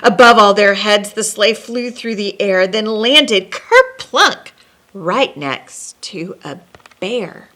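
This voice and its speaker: A woman storyteller reading a children's picture book aloud expressively, with dramatic pauses and chatty asides as host. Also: mid-range voice, expressive, sharp tone.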